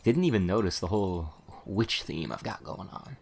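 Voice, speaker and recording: Man playing a low-voiced, rambling male witch shopkeeper. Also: expressive, moderate pace, gravelly, exaggerated voice. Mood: enthusiastic, rambling. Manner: rambling, stammering, chatty, eager to explain. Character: talkative, flustered, humble young male witch shopkeeper. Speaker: ASMR roleplay performer. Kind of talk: ASMR character roleplay.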